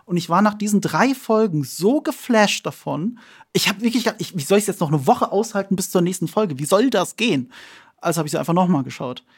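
A man's voice: sits high, lively intonation, a little fast, breathy edge. Man, enthusiastic guest expert, eager storyteller, stumbles on repeated words. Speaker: podcast guest, series expert.

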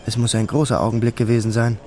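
A man's voice: mid-range voice, level tone, quick pace, darkish tone, slight background noise, close to an everyday voice. An actor playing an anxious male traveler who narrates his own journey in a radio play, introspective and insistent. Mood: brooding.

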